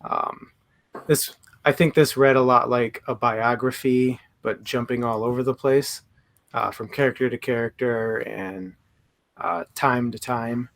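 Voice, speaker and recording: A man's voice: medium pitch, steady tone, unhurried. A young man co-hosting a casual book talk, hesitant with many fillers and self-mocking humor. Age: young adult. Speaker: book podcast co-host.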